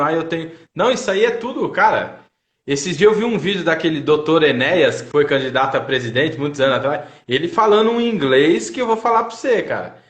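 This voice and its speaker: A man's voice: fairly high pitch, a bit bright, reverberant. A man with a Brazilian accent, a native Portuguese speaker, chatting casually and telling anecdotes in colloquial speech as host of a livestream. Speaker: host of a language-learning livestream. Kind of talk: casual chat. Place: indoors, online live video call.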